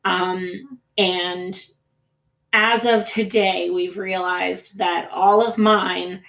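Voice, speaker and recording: A woman's voice: on the low side, steady tone, drawn-out words, creaky, fairly bright tone. A woman from the United States whose first language was Greek, co-hosting a podcast in casual, rambling chat full of fillers and restarts. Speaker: podcast co-host.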